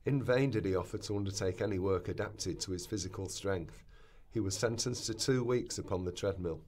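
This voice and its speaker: A man's voice: deep voice, darkish tone. A man giving a scripted reading of literary and historical passages in a radio play rehearsal. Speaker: reader of quoted historical accounts.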